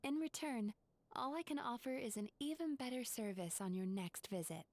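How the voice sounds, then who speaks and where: A woman voicing a calm, composed female spirit therapist who talks in a measured, reserved way, in game voice acting. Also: fairly high pitch, no room sound, natural voice.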